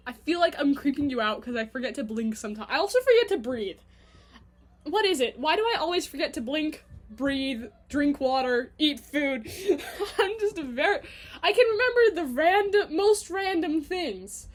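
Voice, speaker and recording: A woman's voice: high-pitched, lively intonation. A young woman with a Canadian accent, talking to her camera at home in a chatty, self-mocking, rambling monologue. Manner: chatty and self-mocking, quick giggly asides. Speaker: vlogger.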